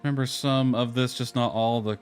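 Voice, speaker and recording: Laid-back man over soft background music. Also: mid-range voice, steady tone, a little fast. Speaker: gaming video creator. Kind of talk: casual gameplay commentary, reading game dialogue aloud.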